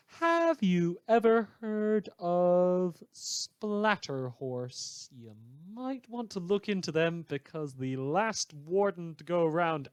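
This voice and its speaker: Guy playing a snarky radio news host for a superhero world, theatrical dry asides, voice a bit high, no room echo. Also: clear, resonant tone. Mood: snide warning. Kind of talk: scripted comedic news broadcast.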